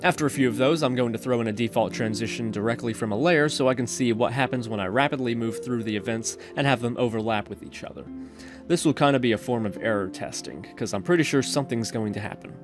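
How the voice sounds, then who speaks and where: A man's voice: medium pitch, fast talker, over music. A man hosting a casual coding tutorial, talking through each step in an informal, chatty way. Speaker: tutorial host. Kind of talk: casual screen-recorded coding tutorial narration.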